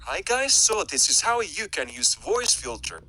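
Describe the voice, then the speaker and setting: A woman's voice altered by a voice-changer filter, played back from a phone app. It comes out bright and edgy with lively, swinging pitch. A faint layer of noise sits around it. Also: measured pace, poor audio.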